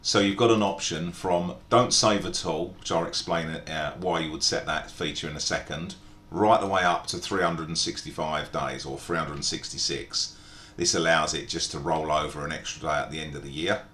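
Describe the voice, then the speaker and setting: A man with a low-pitched voice walks through software steps as an instructor. His delivery is methodical and informal, explaining each option as he goes. The room is echoey, with some low rumbling noise behind him. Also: fairly even intonation, normal speed. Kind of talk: informal step-by-step screen tutorial narration.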